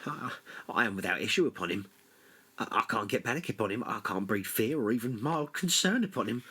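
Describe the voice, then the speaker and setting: A man's voice: lowish voice, animated, brisk, pushed and bright, breathy edge, voice pushed up, full character voice. A man voicing a nervous, tearful minor demon that stammers and pleads. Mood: nervous pleading.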